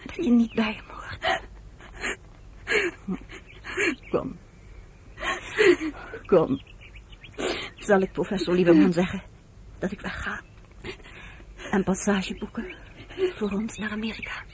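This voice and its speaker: An earnest, affectionate woman played by an actress in a radio play. Her mid-pitched voice pleads and persuades with emphasis, over a slightly noisy old recording. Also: unhurried, long pauses, close to an everyday voice. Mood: grave, gentle. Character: earnest American woman, tenderly persuasive guardian. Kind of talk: radio drama acting.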